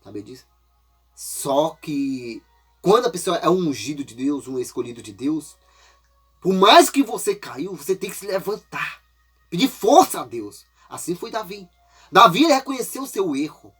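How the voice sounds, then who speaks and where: A man's voice: fairly high pitch, very animated, moderate pace, sharp tone, breathy edge, faint music behind. A man preaching an informal, folksy sermon while congested with a cold, often tagging his phrases with a questioning aside.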